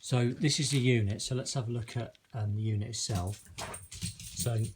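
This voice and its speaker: A man's voice: mid-range voice, fairly even intonation, moderate pace. A man giving a casual, informal unboxing and review commentary in a tentative, unscripted way. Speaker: product reviewer. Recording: noisy recording with handling clicks.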